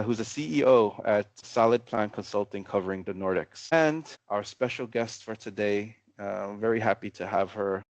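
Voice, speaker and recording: A man moderating a webinar, running through housekeeping and introducing the panel in a friendly, brisk way. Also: on the low side, dry recording. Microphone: video call. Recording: dry, dull call audio.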